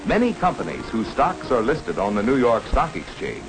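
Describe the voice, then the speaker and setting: An earnest American stockbroker from a 1950s educational cartoon. He explains in an instructive, reassuring sales tone with very animated intonation, over clearly audible music on an old film soundtrack. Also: mid-range voice, moderate pace, low-quality recording.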